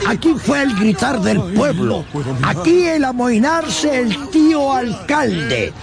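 A man's voice: very high-pitched, very animated, slow, creaky, music in the background. A man narrating a dramatized literary tale for radio in a theatrical, emphatic storytelling manner.

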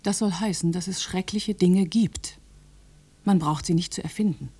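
Female inspector for radio drama: dark, breathy husky voice, measured, quietly defiant, dry. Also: fairly low pitch.

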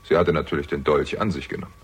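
An actor playing a shrewd young amateur sleuth in a German radio crime drama, husky-voiced, with a pointed, probing and wry manner. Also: male voice, a bass voice, fairly even intonation, quick pace, full character voice. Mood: accusing, animated. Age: young adult.

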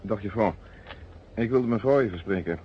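An actor playing a male police inspector in a radio play, husky, formal and pointed, coolly interrogating with a sardonic edge. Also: lowish voice, normal speed, slight background noise, lightly acted. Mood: businesslike. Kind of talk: Dutch radio play dialogue. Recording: dull, lo-fi old radio recording.

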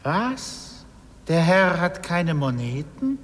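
Indignant tavern madam, low female voice, slow menacing stage delivery, long hall reverb. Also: very animated. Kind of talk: spoken opera dialogue.

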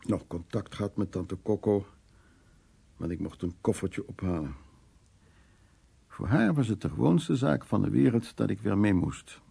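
An older male actor playing a stubborn, world-weary but fond war survivor in a radio drama, plain-spoken, with a husky, creaky voice. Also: lowish voice, unhurried, long pauses, dark tone, full character voice. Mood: wry, weary reminiscing. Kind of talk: radio drama acting, reminiscing and intimate dialogue.

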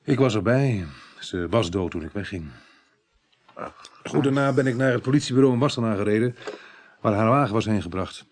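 An actor playing a businesslike, matter-of-fact police detective in a Dutch radio drama, speaking colloquially with slight hesitations. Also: male voice, lowish voice, a little fast, long pauses, natural voice. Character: businesslike male police detective reporting on a case. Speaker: police investigator.

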